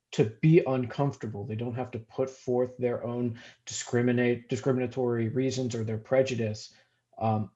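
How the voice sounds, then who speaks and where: A man giving thoughtful commentary in a group discussion on a video call, measured and rephrasing as he goes. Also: mid-range voice, warm tone. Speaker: discussion participant. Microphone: video call microphone. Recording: slightly dull video call audio.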